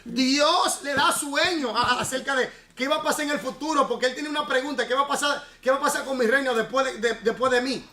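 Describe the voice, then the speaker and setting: High-voiced, bright-toned male Bible teacher. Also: brisk, few pauses. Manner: explains at length, quizzes students with questions. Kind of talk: Bible study class. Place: church classroom. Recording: echoey room.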